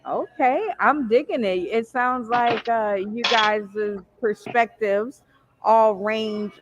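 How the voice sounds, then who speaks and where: A woman's voice: fairly high pitch, slow pace, noisy background. An American woman hosting and moderating a casual discussion panel over dull, lo-fi online call audio.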